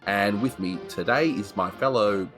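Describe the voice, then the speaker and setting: A man's voice: fairly low pitch, moderate pace, a bit bright, soft background music. A man hosting a casual animation review, chatty and analytical, with frequent restarts. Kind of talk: casual cartoon review commentary.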